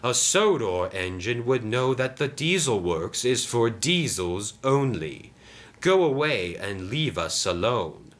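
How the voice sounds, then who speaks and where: A man's voice: mid-range voice, big pitch swings, slow pace, fairly bright tone, pitched above the performer's own voice. A man voicing a cross, scheming male diesel engine in a children's story, curt and sly.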